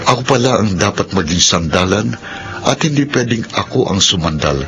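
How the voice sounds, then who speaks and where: A man's voice: lowish voice, expressive, normal speed, music in the background. A man acting an indecisive mama's-boy husband and father with a Filipino accent in a radio drama, earnest and deferring. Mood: regretful.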